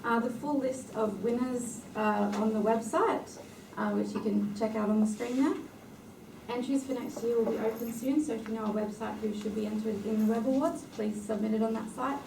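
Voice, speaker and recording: A woman hosts a tech meetup, briskly reading housekeeping notes and introducing the next speaker. Her voice is a little high and gravelly with fry. She sounds far from the mic in an echoey room with steady background noise. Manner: brisk, reads housekeeping notes with many "uh" fillers. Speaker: meetup host.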